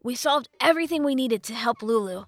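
A woman voicing a calm, earnest young male hero, sincere and good-natured, in a storybook narration. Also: on the high side, a little fast, no room sound, pitched above the performer's own voice. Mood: grateful.

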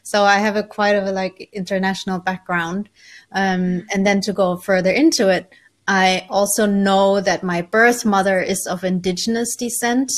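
A woman's voice: mid-range voice, normal speed. Woman guest telling her spiritual story. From Germany. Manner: relaxed, thoughtful, many ums, light laughs. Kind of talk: conversational interview storytelling.

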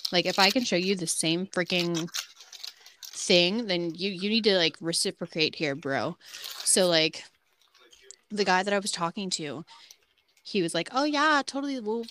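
A woman's voice: mid-range voice, moderate pace, a bit bright. A woman in her late twenties co-hosting a podcast, chatting casually and bluntly, with a frank, filler-heavy way of talking. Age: 20s. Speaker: podcast co-host. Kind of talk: casual chat.

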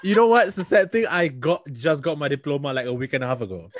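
A man's voice: on the high side, expressive, fast talker, no echo. A man co-hosting a podcast over a video call, chatting casually, laughing at himself and using lots of fillers. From Singapore. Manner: laughing, self-deprecating, chatty with filler words. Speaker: podcast co-host. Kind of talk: casual chat. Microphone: handheld microphone over a video call.

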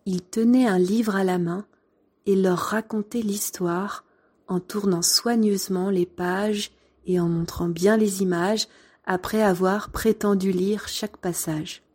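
Slow, mellow-voiced French storyteller woman. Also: mid-range voice, fairly even intonation, breathy edge. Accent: Angevin French. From France. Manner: gentle, unhurried storytelling. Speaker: former schoolteacher. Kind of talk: first-person story narration.

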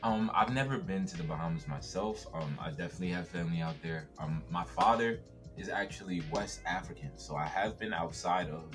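A young man from the US East Coast, a guest on a podcast, talking in a relaxed, reflective way and laughing often. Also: low-pitched, brisk, over music. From United States, East Coast. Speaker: podcast guest, TikTok creator. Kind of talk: casual podcast chat.

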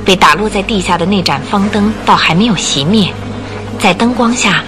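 A woman's voice: mid-range voice, normal speed. Female radio drama narrator over music.

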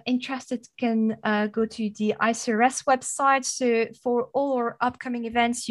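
A woman from Belgium with a French accent, speaking as a guest in an interview, measured and with frequent fillers. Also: fairly high pitch. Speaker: guest, sustainability consultant. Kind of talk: conversational interview.

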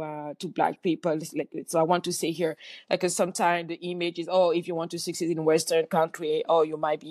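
A middle-aged woman from Cameroon, a guest answering in a halting way with many fillers and restarts. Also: on the low side, a little mellow, no echo. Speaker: guest entrepreneur. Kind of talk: conversational interview answer.

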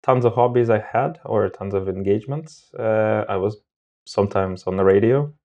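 A man speaking casually as an interview guest, often searching for his words in halting phrases. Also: on the low side, dull audio. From Moldova. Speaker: podcast guest.

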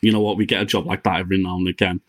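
Blunt Scouse tree surgeon, dry sound. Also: male voice, sits low, flat delivery, rapid-fire, no echo. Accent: Liverpool. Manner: blunt, swears, tells stories. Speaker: podcast guest, tree surgeon. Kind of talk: casual podcast chat.

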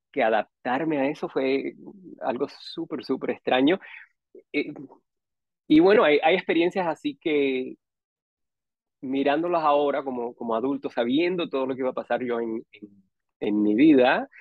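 A man's voice: medium pitch, dry recording. Hesitant Cuban man, dull dry recording. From Cuba, raised partly in Costa Rica and Miami. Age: 40s. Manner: hesitant, searching for words with long pauses. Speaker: interview guest. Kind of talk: casual personal storytelling. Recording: dull, band-limited dry audio.

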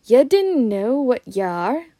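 A woman voicing a gruff, blustery but kindly giant man with a Scottish accent, reading from a storybook. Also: measured pace, a little mellow, no echo, studio quality. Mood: dumbfounded. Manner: booming, blustery.

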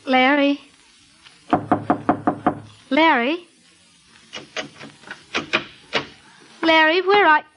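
An actress playing an anxious, devoted, persistent sweetheart with an earnest manner, in 1940s radio drama style. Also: female voice, high voice, slow, muffled recording, over music. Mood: alarmed. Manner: pleading, earnest. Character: anxious, devoted, persistent woman pleading with her lover.

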